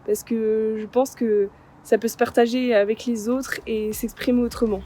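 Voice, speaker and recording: An earnest woman in her twenties speaks steadily. Her voice is fairly high but warm and mellow rather than bright. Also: level tone, moderate pace. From France. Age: 20s. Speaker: team member introducing herself. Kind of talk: prepared self-introduction.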